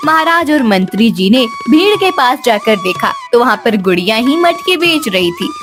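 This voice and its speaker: Female storyteller for kids' tales, high register, faint music bed underneath. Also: wildly swooping pitch, moderate pace. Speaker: narrator. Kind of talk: scripted children's story narration.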